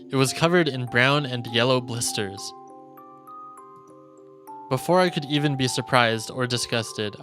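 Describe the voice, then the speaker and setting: A male streamer reading visual novel narration aloud in a solemn, deliberate storytelling manner. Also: mid-range voice, bright, forceful tone. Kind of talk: dramatic reading of game narration. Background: game music.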